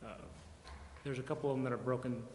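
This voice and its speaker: A man reporting to a council as a staff member, explaining things informally and haltingly. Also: mid-range voice, steady tone, a little mellow. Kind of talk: informal explanation at a public meeting. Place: large indoor council chamber. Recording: echoey hall, faint background noise.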